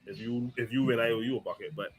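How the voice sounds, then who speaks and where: A man rambling in casual talk, circling back over his own words. He sounds quiet and far from the mic, with a crowd audible around him. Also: mid-range voice, fairly even intonation, quick pace. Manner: rambling, with restarts. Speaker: guest fan. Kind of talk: casual chat. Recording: faint and distant, with crowd noise.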